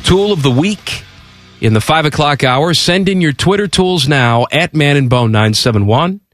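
A man's voice: fairly high pitch, expressive, normal speed, faint music behind. A man with a stuffed-up head cold, hosting a sports radio show from a studio microphone with wry, playful banter.

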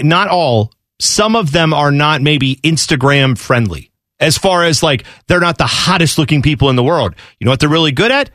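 A man's voice: on the high side, a bit bright, no room sound, clean studio sound. A man co-hosting a sports talk show, arguing his view casually and point by point with everyday examples.

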